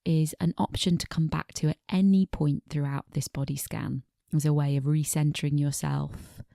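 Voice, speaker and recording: A woman meditation teacher guiding a body scan in a gentle, unhurried manner, pausing between short phrases, with soft phrase endings that fade toward breathiness. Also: sits low, steady tone, creaky, dry recording, clean studio sound.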